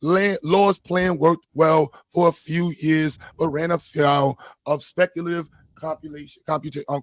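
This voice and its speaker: A man with a fairly high, slightly hoarse voice is hosting a debate. He reads from sources and insists on his point, often repeating words. The sound is very dry, with no room around it. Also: no echo. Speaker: debate host. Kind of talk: live debate, reading sources aloud.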